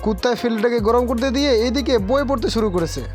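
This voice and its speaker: Very high-pitched, fast-talking male cartoon narrator. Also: over music. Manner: colloquial, joking storytelling. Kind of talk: scripted comic narration.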